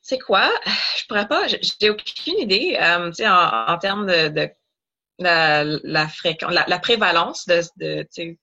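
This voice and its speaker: A woman's voice: on the low side, animated, unhurried, vocal fry, bright, forceful tone, highs cut off. A young woman from Ottawa, a doctoral student guest in an interview, explaining at length with many fillers. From Ottawa, Canada.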